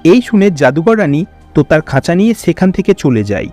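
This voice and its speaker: A man's voice: fairly high pitch, very animated, fairly quick, mellow, faint music behind. A man narrating a scripted fairy tale for a cartoon as storyteller.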